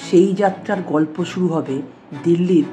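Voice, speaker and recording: A voice-over narrator with a Bengali accent reading a scripted travel story at a measured pace. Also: medium pitch, mellow.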